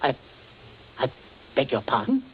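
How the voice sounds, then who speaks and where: An actor playing an outwardly genial, controlling English family patriarch in a 1940s radio drama, genteel and falsely hearty. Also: male voice, medium pitch, huge pitch swings, a little slow. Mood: anxious politeness. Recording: muffled, noisy 1940s radio recording.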